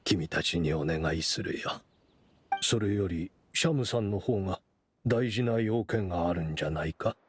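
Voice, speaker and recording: Actor as a deep, weary older teacher. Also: male voice, slight background noise, natural voice. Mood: composed. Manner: calm, unhurried, reflective. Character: weary, resigned, dignified older male teacher. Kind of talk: anime game voice acting. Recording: background music under the voice.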